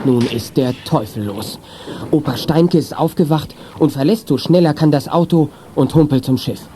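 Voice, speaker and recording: A man's voice: fairly high pitch, moderate pace, mellow, slight background noise, lightly acted. A man narrating a children's detective radio play in scripted storytelling prose. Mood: calm storytelling.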